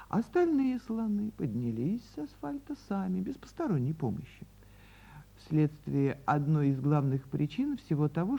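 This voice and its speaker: A woman reading a literary fairy tale as a theatrical radio storyteller, with dramatic pauses and drawn-out words. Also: fairly low pitch, husky, muffled recording.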